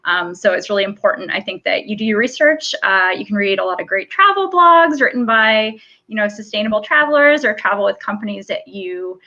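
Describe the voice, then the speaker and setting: A woman moderating a live panel discussion on a video call, friendly and quick to laugh. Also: medium pitch, expressive, pushed and bright, muffled recording.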